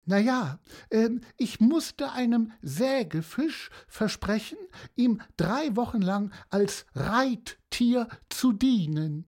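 A woman's voice: a little high, expressive, darkish tone, voice pushed up. Woman voicing a hesitant, woeful seahorse. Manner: slow, hesitant, stammering with fillers. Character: rambling, woeful female seahorse telling tall tales. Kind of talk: storybook character voice.

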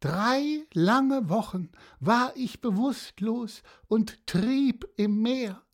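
A woman voicing a rambling, woeful female seahorse who tells tall tales slowly, with many hesitations. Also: fairly high pitch, lively intonation, pitched above the performer's own voice, exaggerated voice. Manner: slow, hesitant, stammering with fillers. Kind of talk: storybook character voice.

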